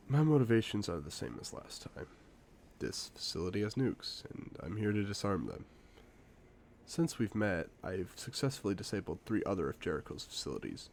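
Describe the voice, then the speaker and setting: A man playing a suave, confident secret agent in a spy audio drama, speaking in a playful, theatrical, deliberate way. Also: lowish voice, sing-song, darkish tone, a little hiss or hum. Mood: confident, admiring. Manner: smooth-talking, playful, theatrical, deliberate phrasing. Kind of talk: scripted one-sided audio roleplay, spy drama.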